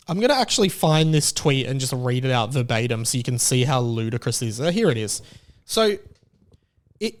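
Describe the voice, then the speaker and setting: Excitable man hosting a podcast. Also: fairly high pitch, clean tone. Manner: animated, incredulous, rambling with restarts. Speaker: podcast host. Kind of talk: casual solo podcast chat.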